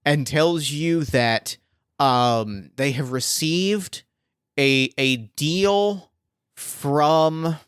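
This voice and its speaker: A man's voice: on the high side, extremely slow, clean tone, no echo, clean studio sound. A man acting as game master, thinking aloud with many fillers and restarts as he sets up a scene. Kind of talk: improvising a tabletop game scene.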